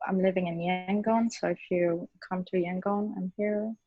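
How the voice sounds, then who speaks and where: A woman's voice: on the low side, steady tone, moderate pace, no room sound. A woman chatting casually as a guest in a discussion. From Myanmar. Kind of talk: casual chat. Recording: slightly dull, dry lo-fi sound.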